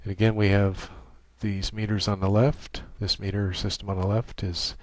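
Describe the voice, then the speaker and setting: A man giving an informal, matter-of-fact technical software walkthrough as he demonstrates a setup. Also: on the low side, flat delivery, normal speed, dry recording. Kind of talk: informal screen-share tutorial narration. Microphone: desktop microphone monitored through computer audio. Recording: clearly noisy home microphone recording.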